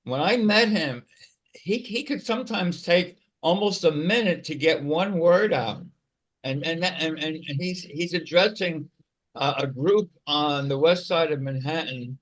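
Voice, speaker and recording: A man's voice: a little high, a little slow. A man with a mild stutter on a video call, explaining things in a casual discussion, often repeating words. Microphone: online video call.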